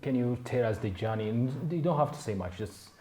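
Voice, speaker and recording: A man's voice: mid-range voice, expressive, fairly quick, dark tone, slight background noise. A man hosting a podcast interview in a casual, chatty way, often laughing and restarting his sentences. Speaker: podcast host. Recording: echoey room.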